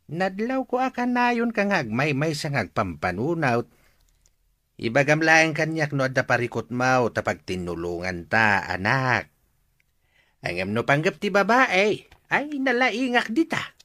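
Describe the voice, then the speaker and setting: A man's voice: on the high side, very animated, a little slow, sharp tone, dry recording, clean studio sound, heavily acted. A man with an Ilocano accent playing a kindly, teasing father who advises his grown son in a radio drama. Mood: concerned, probing.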